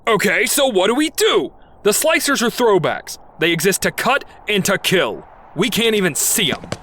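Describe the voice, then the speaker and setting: Man acting a wisecracking robot with swooping pitch. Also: big pitch swings, normal speed, sharp tone, a little hiss or hum, full character voice. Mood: agitated, sarcastic. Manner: sardonic, quippy. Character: wisecracking, cynical robot detective. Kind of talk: audio drama acting.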